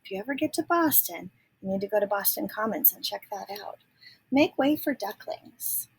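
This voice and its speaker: A woman reading a children's storybook aloud expressively, pausing to add simple explanatory asides. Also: medium pitch, animated, vocal fry, slightly rough, poor audio. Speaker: storybook reader.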